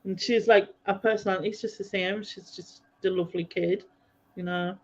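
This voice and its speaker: A woman's voice: mid-range voice, steady tone, normal speed, dull audio. Chatty North East English woman. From North East England. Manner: chatty, rambling, many fillers. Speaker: podcast host. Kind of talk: casual chat.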